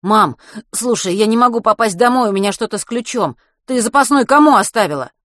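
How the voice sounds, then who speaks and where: Teenage boy played by a woman, higher pitch, agitated, indignant, impatient, exclamatory, audiobook character, dry sound. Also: big pitch swings, unhurried, fairly bright tone, no echo. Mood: urgent, indignant. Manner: exclamatory, blurting questions.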